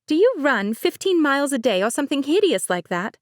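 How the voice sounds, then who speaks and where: A bubbly young bride who gushes and teases in a high, bright lilt, as a woman puts her on. The voice sits well above a plain speaking tone and is recorded close and dry. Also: big pitch swings, brisk, no room sound. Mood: teasing. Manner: gushing, teasing, bright.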